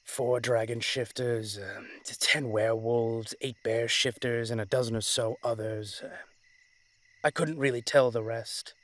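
A man playing a commanding, weary dragon-shifter mafia boss in a dramatic roleplay audio, speaking in a measured, authoritative way. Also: medium pitch, steady tone, a bit bright, dry recording, close to an everyday voice. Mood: grave, commanding.

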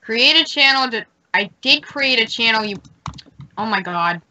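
A flustered young woman co-hosting a game stream who laughs at herself and grumbles at the software. Her bright voice swings widely in pitch. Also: expressive, slight background noise, poor audio. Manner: flustered, self-mocking, quick to complain. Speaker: co-host. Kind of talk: casual livestream chat.

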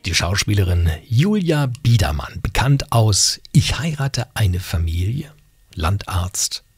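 A middle-aged man hosting a conversational radio interview, speaking with a husky voice into a close studio microphone. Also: fairly low pitch, sing-song, slow. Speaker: radio host. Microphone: close studio microphone on boom arm.

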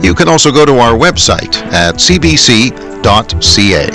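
A man's voice: medium pitch, very animated, moderate pace, gravelly, loud music behind the voice. A man with a Canadian accent reading a scripted hourly radio newscast as anchor.

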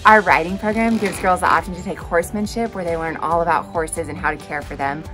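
A woman's voice: fairly low pitch, fairly quick, fairly bright tone, soft background music. A woman narrating a summer camp video in an upbeat, informative voice-over.

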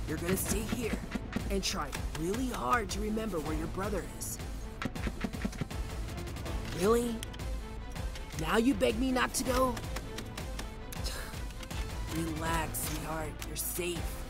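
Casting: male actor as cocky, commanding mob boss, teasingly protective, deliberate slow delivery, roleplay drama over a music bed. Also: high-pitched. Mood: reassuring. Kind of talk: ASMR roleplay audio drama. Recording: loud music bed under voice.

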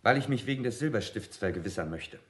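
An actor playing a brisk, sceptical police inspector in a German radio crime drama, blunt, formal and insistent. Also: male voice, medium pitch, animated, fairly bright tone, lightly acted.

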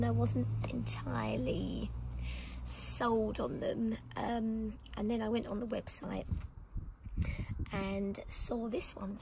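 A British woman whose voice is nearly gone, rasping and breaking into whispers as she chats casually about a purchase. Her slightly high, gravelly voice comes through a phone recording made outdoors, with clear background noise. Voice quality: breathy, often whispering. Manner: chatty, rambling with many ums. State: lost her voice, hoarse and whispery. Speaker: motorbike vlogger. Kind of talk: casual product unboxing. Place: outdoor garden table.

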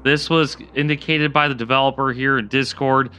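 A man's voice: medium pitch, flat delivery, normal speed, pushed and bright, slight background noise. A man hosting a gaming video, explaining lore and walkthrough steps directly to his viewers. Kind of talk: scripted game lore commentary. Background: faint background music.

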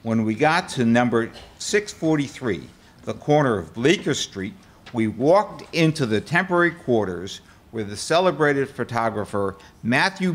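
An older man playing a formal nineteenth-century political delegate, reading a first-person account on stage with measured delivery and occasional stumbles. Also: medium pitch, natural voice. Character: formal 19th-century Republican delegate recounting events. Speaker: amateur actor reading a historical part. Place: auditorium stage before an audience. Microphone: microphone on a stand.

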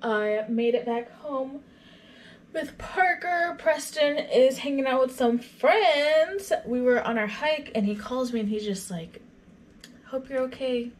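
Vlogger, young woman, slightly high voice, chatty and rambling, camera on the counter at home, faint noise behind. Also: slow. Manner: chatty, rambling, retells conversations. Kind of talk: casual vlog chat. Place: small home kitchen. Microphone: camera on a counter in front of her.

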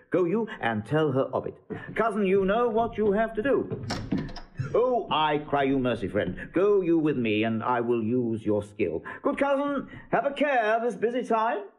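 Animated, fussy old governor played by a man. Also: medium pitch, lightly acted, low-quality recording. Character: elderly governor, fussy, busy household patriarch. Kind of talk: classical Shakespearean audio drama acting.

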